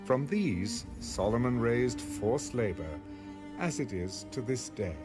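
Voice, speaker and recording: A man's voice: mid-range voice, expressive, slow pace. A man narrating scripture in a scripted, dramatic reading style. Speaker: audio Bible narrator. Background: music.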